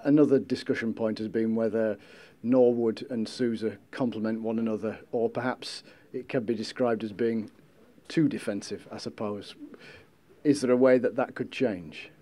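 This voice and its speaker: A man's voice: on the low side, steady tone, normal speed, warm tone. A male interviewer putting direct, probing post-match questions to a football manager.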